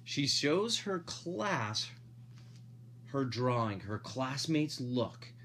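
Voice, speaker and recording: Dad doing story time, super animated, takes it slow, chatty asides, slightly noisy home recording. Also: male voice, medium pitch. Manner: expressive, drawn-out emphasis, playful commentary to listeners. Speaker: parent reading a picture book to children. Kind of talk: animated storybook read-aloud with casual asides.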